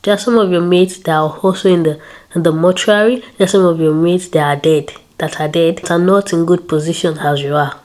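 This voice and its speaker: A woman's voice: on the low side, fairly even intonation, brisk, a little mellow. A woman in her late twenties vlogging in a casual, upbeat way, repeating words and adding prayerful asides. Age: 20s. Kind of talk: casual faith-filled vlog talk.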